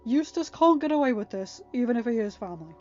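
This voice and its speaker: A woman's voice: on the high side, lively intonation, fast, a little mellow, lightly acted. With faint music behind, a woman plays a stern young storybook man in a slightly dull recording. The delivery is firm and lecturing, protective of family and insistent. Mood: firm, reasoning.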